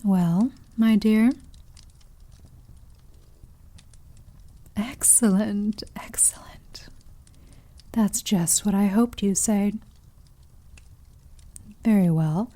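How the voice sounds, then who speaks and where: A woman playing a possessive, sadistic female vampire in an ASMR roleplay, seductive and commanding, purring condescending endearments and slipping into breathy whispers. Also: mid-range voice, slow, long pauses, warm tone. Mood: smug, satisfied.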